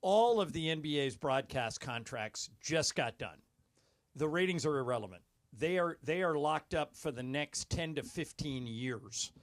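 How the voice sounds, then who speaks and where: Male sports broadcaster, slightly high voice, explanatory, matter-of-fact, close dry studio sound. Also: expressive, measured pace, dry recording. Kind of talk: sports talk analysis.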